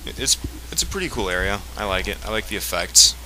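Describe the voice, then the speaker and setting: A man giving casual, rambling video game commentary, explaining things in a halting way as he plays. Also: lowish voice, animated, bright, forceful tone, a little hiss or hum, poor audio. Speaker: let's play commentator. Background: video game music.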